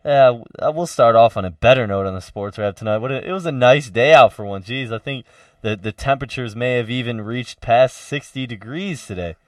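A young man hosting a college radio sports talk show, loud and energetic, halting with many fillers. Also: mid-range voice, dry recording, clean studio sound.

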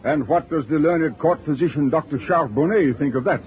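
An actor playing an imperious, stern French monarch in a 1940s radio drama, speaking in a regal, formal, commanding way. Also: male voice, fairly high pitch, moderate pace, natural voice. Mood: regal questioning. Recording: tinny, noisy vintage radio broadcast.

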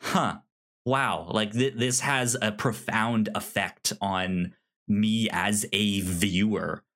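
A man co-hosting a film podcast, reflecting casually in a halting, stammering way that repeats words. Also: lowish voice, a bit bright. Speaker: podcast co-host.

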